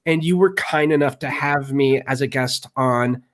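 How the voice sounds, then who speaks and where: A man hosting an interview, excitable and rambling, often restarting his sentences. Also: medium pitch, normal speed. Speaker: podcast host.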